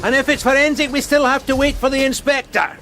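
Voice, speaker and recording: An actor playing a put-upon police sergeant in a radio crime drama, dryly sardonic and deferential with a tetchy edge. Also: male voice, very high-pitched, fast talker, a little hiss or hum, exaggerated voice. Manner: deadpan, dry.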